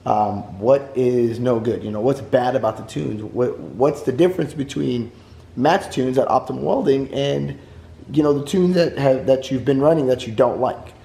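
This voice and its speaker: A hoarse man who has lost his voice, chatting casually and rambling in a vlog while driving his truck. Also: mid-range voice, normal speed, mellow. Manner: chatty, rambling, clears throat. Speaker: vlogger. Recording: noisy car interior. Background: engine and road noise.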